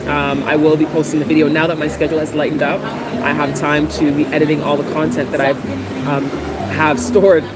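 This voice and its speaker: A woman's voice: sits low, flat delivery, brisk. Chatty woman vlogging with a cold. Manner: chatty, many ums and restarts. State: has a cold, occasional cough. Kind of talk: casual vlog talk to camera. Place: Ghana, with outdoor and traffic noise. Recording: loud background noise.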